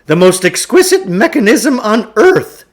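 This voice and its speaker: A pompous storybook huckster, acted out by a man reading aloud, pitched very high and projected. He lectures grandly, with big swoops in pitch, as if every idea were a revelation. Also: very animated, measured pace. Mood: grandiose lecturing. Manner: grandiose, speaks of himself in third person. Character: pompous showman lecturer selling crackpot mind-control theories. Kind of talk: storybook character voice in a read-aloud.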